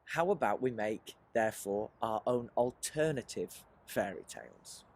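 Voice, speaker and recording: Animated man teaching children. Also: on the low side, unhurried, vocal fry. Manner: animated and encouraging, often posing questions to the listener. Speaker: writer leading a children's creative writing workshop. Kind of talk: presentational teaching aimed at children, with rhetorical questions.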